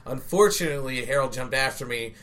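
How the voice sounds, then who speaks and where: A man's voice: medium pitch, brisk, fairly bright tone. A young man co-hosting a comedic podcast, goofy and playfully insistent. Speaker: podcast co-host.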